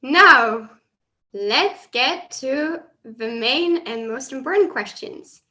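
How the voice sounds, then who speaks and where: Female interviewer, clearly high pitch, bright forward tone, reading out prepared questions. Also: animated, slow pace. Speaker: interviewer, host.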